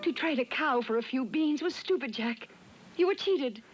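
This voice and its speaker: A woman's voice: sits high, lively intonation, moderate pace, dull audio. A stern scolding mother. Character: stern, worried poor mother. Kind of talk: 1970s cartoon dub acting. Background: music.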